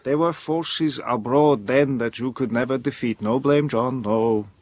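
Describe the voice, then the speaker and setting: An actor playing a grave, commanding learned mentor in an audio drama, speaking with theatrical, solemn emphasis. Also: male voice, medium pitch, a little slow, darkish tone, dry recording, natural voice. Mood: reassuring, grave.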